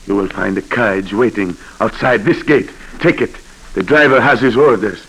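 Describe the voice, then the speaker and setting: Imperious Haitian official. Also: male voice, fairly low pitch, moderate pace, a bit bright, husky, poor audio. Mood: assured, ominous. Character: commanding, sardonic Haitian official, amused and theatrical. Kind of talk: 1940s radio acting.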